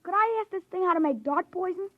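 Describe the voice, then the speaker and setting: A woman's voice: extremely high pitch, fast talker, no echo. A woman playing a bratty, menacing little boy in a 1950s radio drama, insolent and pestering. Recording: dull vintage radio recording.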